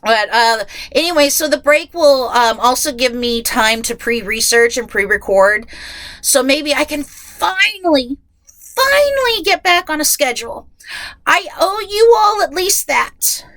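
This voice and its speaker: A woman's voice: high voice, animated, measured pace, a bit bright, no room sound. A woman hosting a casual, chatty solo podcast, sounding nasal and congested from a head cold, rambling along in a self-deprecating way, recorded inside a closet. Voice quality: nasal, stuffed-up. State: getting over a head cold. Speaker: podcast host.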